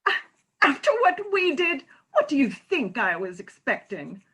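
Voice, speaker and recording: A woman performs a middle-aged former nurse in a comic play reading. Her voice sits high and bright. She speaks frankly, with wry, pointed teasing and an earnest streak. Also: very animated, measured pace, full character voice. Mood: nervous, confessing.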